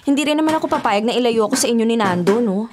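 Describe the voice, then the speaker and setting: An actress with a Filipino accent playing a carefree, stubborn young waitress in a radio drama, breezy and expressive. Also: female voice, fairly high pitch, racing, slight background noise, lightly acted. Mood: breezy, chummy. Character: carefree, stubborn young pregnant waitress who smokes and gambles. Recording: faint music bed behind dialogue.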